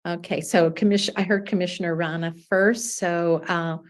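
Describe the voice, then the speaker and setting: A woman's voice: fairly low pitch, fairly even intonation, normal speed. A woman chairing a city planning commission meeting, reading agenda items formally and calling for motions.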